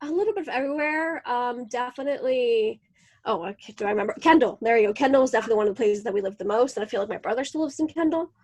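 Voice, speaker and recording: A woman's voice: fairly high pitch, animated, racing, darkish tone. A young woman from Venezuela co-hosting a podcast, chatting in a rambling, giggly way. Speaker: podcast co-host. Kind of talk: casual interview chat.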